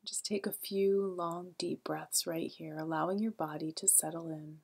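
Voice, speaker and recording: A woman leading a guided meditation in a calm, gentle, soothing way, with a soft, breathy voice and long pauses. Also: on the low side.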